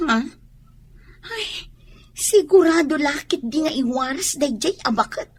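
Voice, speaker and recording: A woman's voice: high-pitched, animated, unhurried. An actress with a Filipino accent playing a headstrong, defiant young woman in love, protesting in a radio drama. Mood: worried protest.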